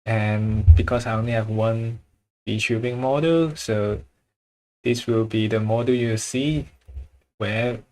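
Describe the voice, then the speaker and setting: Slow, halting man with a Chinese accent. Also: on the low side, steady tone, warm tone, rough recording. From China. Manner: halting, searches for words, chuckles often. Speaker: drawing livestreamer. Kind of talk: casual livestream chat while drawing.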